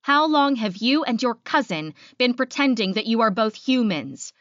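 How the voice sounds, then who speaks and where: A woman voicing an angry, proud female werewolf leader, sharp and confrontational, in an audiobook. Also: mid-range voice, animated, a little slow, dry recording, lo-fi sound. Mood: furious.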